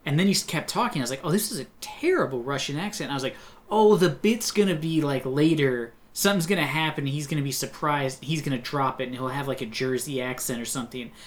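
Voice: medium pitch, quick pace. A podcast co-host chatting casually about a film, telling the story of a first viewing and acting out past thoughts along the way. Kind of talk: casual movie review chat.

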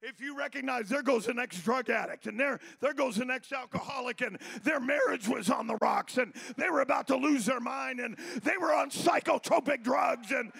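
A pastor preaching a fiery Pentecostal sermon in a church, shouting as he urges the congregation to praise. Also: very high voice, moderate pace, vocal fry, hardly any pauses, breathy edge. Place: church sanctuary.

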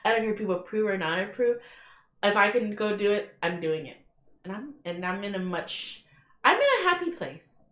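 A woman's voice: medium pitch, big pitch swings, brisk, a bit bright, reverberant. A woman in her thirties co-hosting a casual podcast chat with friends. She is blunt and teasing and swears freely. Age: 30s. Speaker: podcast co-host.

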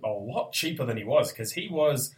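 Young aussie bloke hosting a footy podcast, bit gravelly from a cold, chatty, laughs a lot. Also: male voice, medium pitch, lively intonation, normal speed. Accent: Australian. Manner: chatty, laughs often, restarts mid-sentence. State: recovering from a cold, slightly gravelly. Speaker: podcast host. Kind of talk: casual sports chat.

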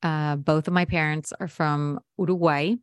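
A woman's voice: deep voice, moderate pace, smooth, dry recording, clean studio sound. A woman from the United States, a podcast guest, telling stories in a casual interview and acting out quoted dialogue. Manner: lively storyteller, acts out quoted dialogue.

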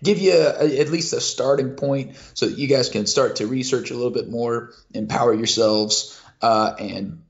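A man's voice: mid-range voice, normal speed, few pauses. A man from Kentucky co-hosting a casual podcast, rambling with many restarts and fillers. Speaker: podcast co-host. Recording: lo-fi muffled audio.

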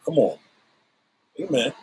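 A man's voice: medium pitch, big pitch swings, slow pace, a little mellow, slightly rough. A man in his seventies ranting on a livestream, combative and profane, issuing threats and calling out rivals. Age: 70s.

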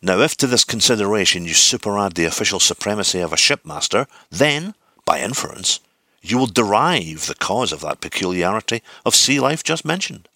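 A man reading literary narration from a script, with deliberate, theatrical phrasing. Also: on the low side, sing-song, pushed and bright, no room sound. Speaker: audiobook reader.

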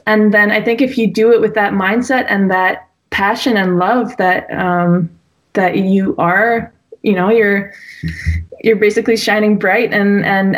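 A young woman guest talking casually about her work as a wedding photographer, with lots of fillers and restarts. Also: medium pitch. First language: Dutch. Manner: talkative, full of fillers and restarts. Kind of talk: casual interview conversation.

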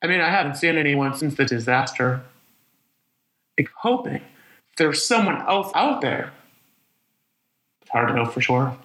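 Male lone survivor, weary and reflective, higher-pitched bright voice, halting conversational monologue, radio drama. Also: expressive, reverberant, rough recording. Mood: lonely, uneasy. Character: lonely, weary, reflective male survivor wandering after disaster. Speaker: radio play actor. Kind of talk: dramatic monologue, spoken like an audio diary.

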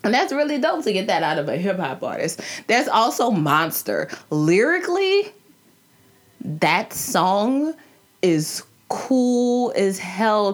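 A woman from the New Orleans area with a local accent, reviewing a rap album in a chatty, slang-filled solo podcast. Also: low voice. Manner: chatty and animated, frequent restarts and slang.

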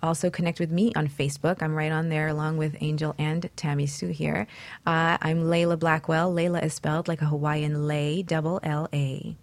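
A woman's voice: deep voice, level tone, normal speed. A woman hosting a talk show in a conversational way, speaking as a relationship coach.

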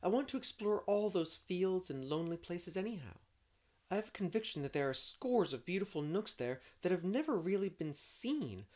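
A dreamy young storybook heroine, voiced by a woman narrator. She talks effusively and poetically, with a very animated melody. Her phrases are emphatic and full of romantic eagerness. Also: on the low side, pitched above the performer's own voice, lightly acted. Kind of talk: audiobook character reading.

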